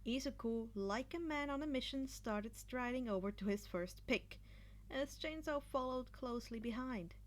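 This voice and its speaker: A woman's voice: sits high, moderate pace. A woman narrating fan fiction as an amateur audiobook-style reader, telling a scripted story.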